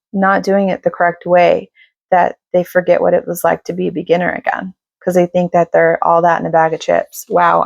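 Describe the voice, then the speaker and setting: Casual woman tarot reader, slightly raspy and gravelly, chatty with lots of fillers, dry close recording. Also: fairly low pitch, no room sound. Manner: chatty, filler-heavy, reassuring. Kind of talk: casual tarot reading to camera.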